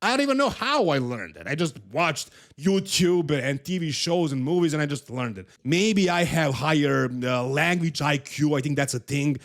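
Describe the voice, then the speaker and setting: A man's voice: on the high side, lively intonation, moderate pace, few pauses, a bit bright. A man from Serbia with a Serbian accent, a native Serbian speaker, hosting a casual bodybuilding commentary and giving his emphatic, opinionated take with frequent repeats.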